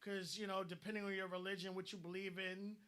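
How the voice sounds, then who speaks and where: High, bright man, blunt advice-giver, repeats himself as he gets going. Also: little pitch movement, fast talker. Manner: blunt, advice-giving, repeats words as he starts a thought. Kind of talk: casual online discussion.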